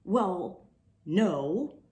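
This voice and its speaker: A woman's voice: on the low side, expressive, very slow, a little mellow. A woman voicing a flustered male library worker from a storybook, tattling in an urgent, complaining way. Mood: hesitant. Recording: roomy, echoing room sound.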